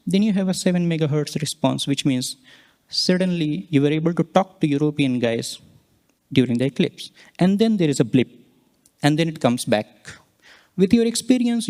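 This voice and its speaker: A man presenting scientific results, explaining charts step by step and pausing mid-sentence to point things out. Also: fairly high pitch, dark tone. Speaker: conference presenter, researcher.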